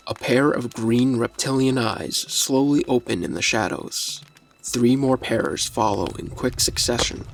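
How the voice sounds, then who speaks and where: A man reading scripted story narration in a measured, descriptive manner. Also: mid-range voice, creaky, slight background noise, low-quality recording.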